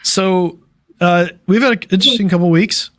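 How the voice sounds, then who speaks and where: A man hosting a casual podcast chat, tired and dealing with allergies, talking in a relaxed, rambling way. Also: high-pitched, normal speed. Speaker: podcast host.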